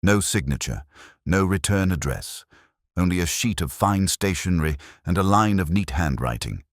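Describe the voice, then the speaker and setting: A man narrating a literary detective story from a script in a measured, solemn manner. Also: deep voice, expressive, dry recording, clean studio sound. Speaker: audiobook narrator.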